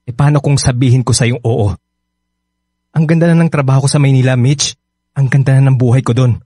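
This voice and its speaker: A man's voice: mid-range voice, fairly even intonation, a little fast, warm tone, breathy edge, no echo, close to an everyday voice. A Filipino-accented man acting in a radio drama as an evasive, resentful young husband and father on the defensive. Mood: resentful, frustrated.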